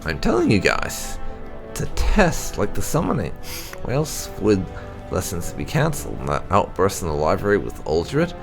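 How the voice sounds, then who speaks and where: A man's voice: low voice, huge pitch swings, moderate pace, creaky, music in the background, heavily acted. A man voicing an eager, suspicious young apprentice mage from a fantasy game, insistent and persuading. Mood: urgent, insistent.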